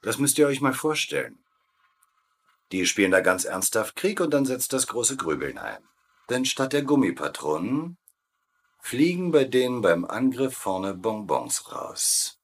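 A quick-witted captive colonel in a sitcom. He sounds cocky and wry, the born ringleader. His pitch is medium and moves freely. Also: sing-song, slightly rough, exaggerated voice. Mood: scheming, confident. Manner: wry, confident. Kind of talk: dubbed sitcom banter.

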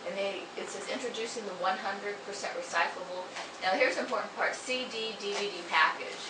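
A woman's voice: medium pitch, a little fast. A middle-aged woman chatting casually as a co-host. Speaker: co-host of a home media expo wrap-up. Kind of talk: casual chat. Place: small indoor room, seated on a couch. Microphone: camera a few feet away. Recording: echoey room, distant mic, clear noise.

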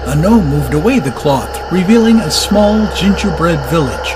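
A man's voice: fairly high pitch, lively intonation, normal speed, noisy background. A man narrating a dramatized audiobook in a scripted storytelling style. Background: music.

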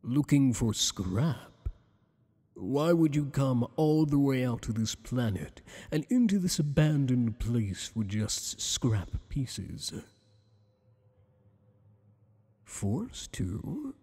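A man playing a flirtatious, possessive tentacled alien boss in an ASMR roleplay, speaking in a teasing, courtly, deliberate way with long echoing reverb. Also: medium pitch, sing-song, mellow, breathy edge, full character voice. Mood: curious, pitying.

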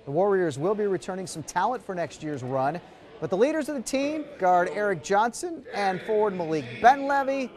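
High-pitched male sports anchor. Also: sing-song, normal speed, a little mellow. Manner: punchy, emphatic announcer delivery. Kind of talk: scripted sports highlights narration. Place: TV news studio.

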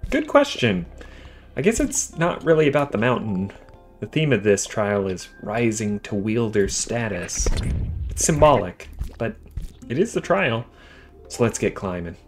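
A hearty animal mentor from a video game, acted out by a man, speaks in grand, emphatic tones. The pitch swings up and down, with light music behind. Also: big pitch swings, measured pace. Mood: earnest, encouraging. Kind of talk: reading game dialogue aloud.

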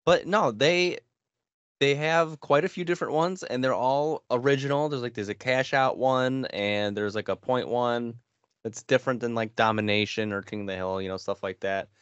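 A man's voice: medium pitch, normal speed, fairly bright tone, clear, resonant tone, no echo. An American man in his thirties, a podcast co-host chatting casually about games. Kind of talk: casual gaming chat. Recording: slightly dull, band-limited, dry sound.

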